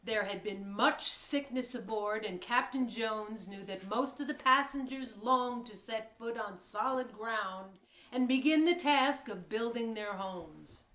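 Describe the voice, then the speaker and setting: A woman's voice: a little high, measured pace, fairly bright tone. A woman narrating a historical audio play in a scripted storytelling style. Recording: echoey room.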